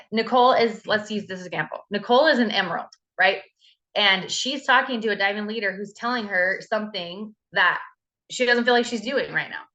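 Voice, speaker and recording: Woman team leader, earnest and encouraging, bright voice, slightly dull audio. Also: mid-range voice. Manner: earnest, encouraging, many fillers. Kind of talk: motivational talk on a video call.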